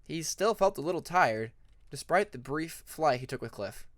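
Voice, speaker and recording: A man's voice: a little high, animated, moderate pace, fairly bright tone, dry recording, clean studio sound. A man narrating scripted fiction as an audiobook reader, with a dramatic storytelling manner.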